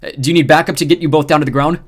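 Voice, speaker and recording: A man's voice: a little high, steady tone, very fast, voice pushed up, lightly acted. A man voicing a stern male squad leader who barks orders and growls commands. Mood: worried.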